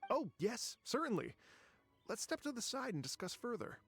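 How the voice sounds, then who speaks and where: An actor playing an earnest, grateful gentleman musician in an anime game, polite and heartfelt. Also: extremely high pitch, big pitch swings, moderate pace, soft background music, heavily acted. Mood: agreeable.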